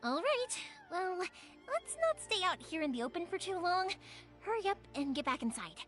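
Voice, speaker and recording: A kind-hearted young fireworks maker, with a high, girlish voice. She is earnest and cheerful, and her pitch moves around in an animated video game style. Music plays softly behind her. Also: moderate pace, heavily acted. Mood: reassuring. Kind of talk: video game character acting.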